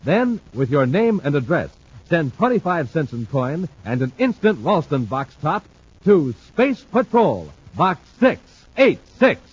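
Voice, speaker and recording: Booming 1950s radio announcer, muffled. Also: male voice, husky. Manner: booming, salesy, emphatic. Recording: muffled old radio transcription with faint hiss.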